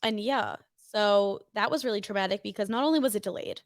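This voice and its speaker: A woman's voice: mid-range voice, brisk, dry recording, clean studio sound. A woman in her twenties from New Jersey, co-hosting a casual chat show with a chatty, self-mocking manner. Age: 20s. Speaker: co-host.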